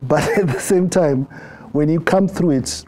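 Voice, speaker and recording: A middle-aged man with a Zimbabwean accent, answering interview questions in a reflective way, often halting and repeating words. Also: fairly high pitch, dark tone. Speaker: interview guest.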